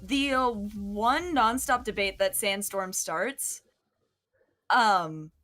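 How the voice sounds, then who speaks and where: A woman speaks very slowly in a medium-pitched voice, chatting casually on a livestream. Faint music plays behind her. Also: pushed and bright. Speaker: fandub voice performer and writer on a livestream. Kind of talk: casual chat.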